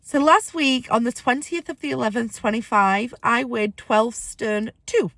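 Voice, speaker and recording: A woman with a squeaky voice from being ill, chatting excitedly to camera in a casual weight-loss vlog, with rambling asides. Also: measured pace, no echo.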